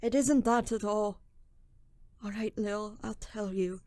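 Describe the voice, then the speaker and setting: A woman's voice: mid-range voice, steady tone, takes it slowly, warm tone, dry recording, studio quality, lightly acted. A woman playing a guilt-ridden young man, a desperate petty thief, confessing and narrating in a radio drama. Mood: troubled. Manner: brooding, dramatic first-person confession.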